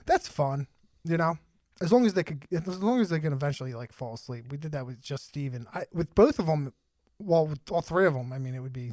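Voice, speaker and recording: A man's voice: on the high side, expressive, a little fast, darkish tone, dry recording, studio quality. A man in his thirties hosting a podcast, chatting casually in a blunt, joking way and swearing freely. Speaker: podcast host. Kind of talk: casual chat.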